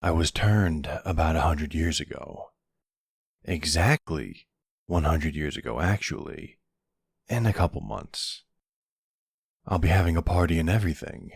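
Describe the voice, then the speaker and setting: A flirty male vampire, acted by a man for an intimate ASMR roleplay, smug and sardonic. The voice is deep and gravelly, with lively, swooping intonation that teases and seduces. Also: measured pace, long pauses, no room sound, clean studio sound, exaggerated voice. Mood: playful, smug. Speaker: audio roleplay voice actor.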